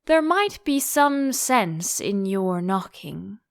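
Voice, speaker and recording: A woman voicing a solemn, obtuse frog footman in a storybook, slow and literal-minded in his stubborn indifference. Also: mid-range voice, very animated, gravelly, no echo, clean studio sound, heavily acted. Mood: matter-of-fact.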